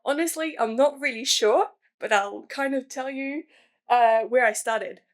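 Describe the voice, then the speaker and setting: A woman's voice: high-pitched, a little slow. A young woman from New Caledonia, a museum science communicator chatting enthusiastically about her career, with frequent fillers. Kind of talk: casual career talk.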